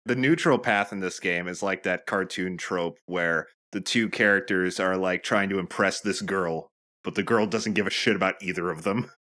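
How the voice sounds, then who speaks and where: A man's voice: low voice, moderate pace, pushed and bright. A young man bantering casually and jokingly as a co-commentator on a game. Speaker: let's play co-commentator.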